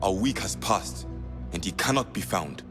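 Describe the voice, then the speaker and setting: A man's voice: low voice, expressive, normal speed, over music. An actor playing a genial male bureau keeper in a video game, speaking in a friendly, businesslike way. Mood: earnest. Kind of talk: video game cutscene acting.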